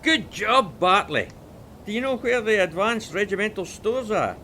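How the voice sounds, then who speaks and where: An actor playing a bluff, no-nonsense quartermaster sergeant, loud and authoritative, in a war audio drama. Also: male voice, high-pitched, animated, normal speed, a little hiss or hum, exaggerated voice. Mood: bluff, commanding.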